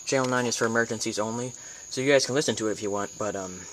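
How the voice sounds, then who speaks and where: A clearly noisy, clicky amateur recording carries an American man's voice at an average pitch. He hosts a casual hands-on demonstration, speaking informally and haltingly. He tends to think aloud as he explains each step. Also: fairly bright tone. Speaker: video tutorial host.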